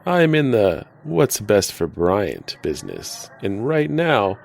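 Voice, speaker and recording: A man's voice: fairly low pitch, sing-song, slow, creaky, exaggerated voice. An actor playing a self-serving male drifter who talks in a sardonic, laid-back way.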